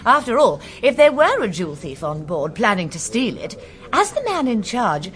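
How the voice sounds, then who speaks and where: A woman's voice: medium pitch, big pitch swings, normal speed, fairly bright tone. A spirited young woman. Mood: teasing. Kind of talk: radio drama acting.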